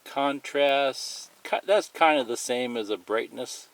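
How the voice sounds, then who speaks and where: Clear background noise sits under a man's voice in an amateur recording. He talks slowly at a middling pitch, walking through a product in a casual, plain, step-by-step way. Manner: plain-spoken, step-by-step explaining. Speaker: tutorial presenter. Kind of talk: casual hands-on product demonstration. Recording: clearly noisy amateur recording, clicks and beeps.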